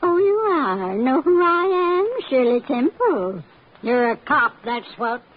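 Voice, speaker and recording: A woman's voice: high-pitched, very animated, slow pace, full character voice. A woman playing a surly, mercenary, wisecracking landlady with a brassy, sarcastic, slangy manner in an old-time radio drama. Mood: surly, suspicious. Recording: tinny old radio recording, faint hiss.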